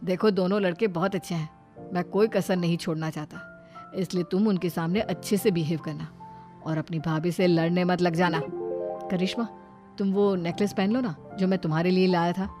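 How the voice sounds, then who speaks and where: A woman voicing a caring but quick-tempered elder brother who instructs the family in a cartoon drama. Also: on the low side, fairly even intonation, warm tone, soft background music, voice pushed down, lightly acted. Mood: instructing. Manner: instructing, authoritative.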